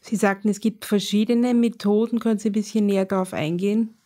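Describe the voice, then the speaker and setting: A woman hosting a radio show, reading her introduction in measured, clear phrases. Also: medium pitch, fairly even intonation, mellow. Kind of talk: scripted radio presenting.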